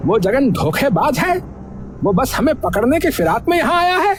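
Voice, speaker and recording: A man's voice: very high voice, big pitch swings, moderate pace, background noise. A man voicing a menacing bandit from a gang in a Hindi cartoon story. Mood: angry, menacing. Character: menacing bandit gang boss and his henchmen.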